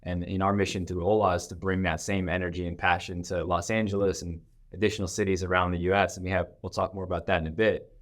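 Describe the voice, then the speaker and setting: A man with a fairly low voice hosts a podcast interview. He speaks conversationally, in the manner of someone chatting with a guest. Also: little pitch movement, fast talker. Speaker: podcast host.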